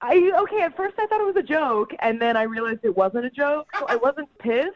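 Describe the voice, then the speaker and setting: A woman's voice: high voice, lively intonation, a little fast. A young woman in a casual, candid phone conversation with a radio show. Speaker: person called by radio show. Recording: muffled dry phone line.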